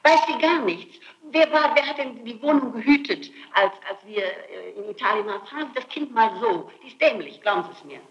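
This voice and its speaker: A middle-aged woman answering questions in an interrogation, insistent and flatly contradicting, on an archival tape recording. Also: on the high side, a little fast, hardly any pauses, reverberant, muffled recording. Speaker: suspect under interrogation.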